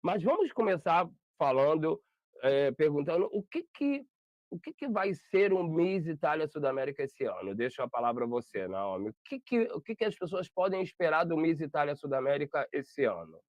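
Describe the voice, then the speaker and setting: A man's voice: on the high side, sing-song, normal speed, no room sound. Chatty male interview host, muffled call. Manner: chatty, rambling with self-corrections. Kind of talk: online video interview. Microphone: video call. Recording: dull lo-fi video call audio.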